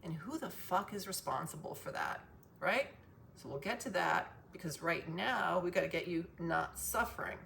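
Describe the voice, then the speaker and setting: Casting: female wellness vlogger, lowish gravelly strained voice, sweary and digressive, noisy ambient recording. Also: animated, normal speed, fairly bright tone. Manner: profane, emphatic, goes off on tangents. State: voice strained from loud singing. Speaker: wellness vlogger sharing a self-cure. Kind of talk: casual, rambling advice vlog.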